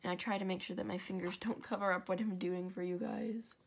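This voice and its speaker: A woman's voice: mid-range voice, fairly even intonation, brisk, creaky, slightly rough. A young woman with a stuffy, congested nose, chatting her way through a step-by-step crafting tutorial at home, with rambling asides and self-corrections.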